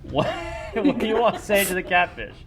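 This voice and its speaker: A man's voice: sits high, very animated, moderate pace, crowd noise. A man acting as game master, improvising narration for a tabletop role-playing game.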